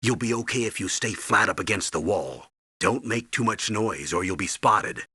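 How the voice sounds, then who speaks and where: A stern American colonel briefing an operative in a video game. His low voice is husky and forceful, with clipped, instructional authority. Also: animated, normal speed, dry recording, studio quality, exaggerated voice. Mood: instructive. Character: stern older military colonel giving mission orders by radio. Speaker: mission commander and briefer.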